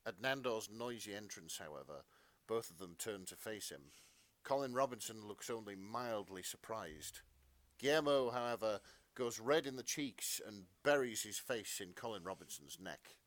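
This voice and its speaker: A man's voice: on the low side, animated, normal speed, no echo. A man narrating fan fiction as a podcast co-host, in a melodramatic storytelling style.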